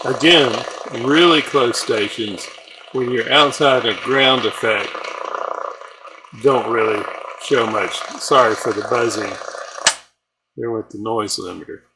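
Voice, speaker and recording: Man talking over loud radio noise. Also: mid-range voice, slow, pauses often. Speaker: shortwave radio hobbyist demonstrating a receiver. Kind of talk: casual unscripted demonstration commentary. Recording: loud radio static and buzzing throughout. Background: shortwave receiver noise and broadcasts.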